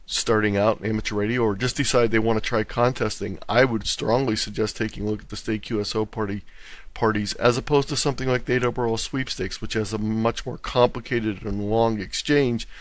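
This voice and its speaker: Low-voiced man explaining things, dry close sound. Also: steady tone, brisk, no room sound. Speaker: interview guest, contest organizer. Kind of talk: explanatory interview talk.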